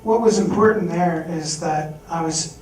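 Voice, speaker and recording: A man's voice: fairly high pitch, measured pace. A young man on stage at a fundraising event, telling a candid personal story and acting out the voices of people he quotes. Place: event hall with audience. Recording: echoey hall recorded from far off.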